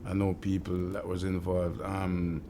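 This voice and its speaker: Earnest Jamaican man, low flat voice. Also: measured pace, background noise. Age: middle-aged. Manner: earnest, reflective, with frequent ums and restarts. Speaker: community shop owner telling his life story. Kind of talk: personal storytelling interview.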